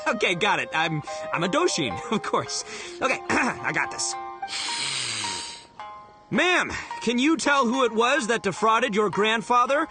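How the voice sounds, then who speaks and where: Loud cocky goofball gang leader, anime game, pitch all over the place, music behind him. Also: male voice, very animated, unhurried, pauses often. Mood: confused, eager. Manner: boisterous, cocky, hammy. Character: brash, goofy, hot-headed male gang boss, big-hearted. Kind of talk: anime video game voice acting.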